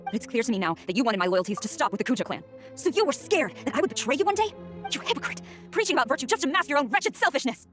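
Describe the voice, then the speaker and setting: Stern, fast-talking young female general. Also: sits high, very animated, a bit bright, soft background music. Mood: hurt, indignant. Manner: formal, commanding, earnest. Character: stern, dutiful young female general, betrayed by her master. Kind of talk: dramatic video game acting.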